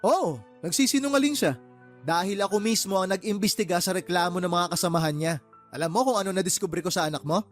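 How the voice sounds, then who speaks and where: A man's voice: high voice, fast talker, soft background music, lightly acted. A man playing a fed-up, exasperated uncle and boss in a Tagalog radio drama, scolding his sister with heated, sarcastic questions. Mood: defensive, irritated.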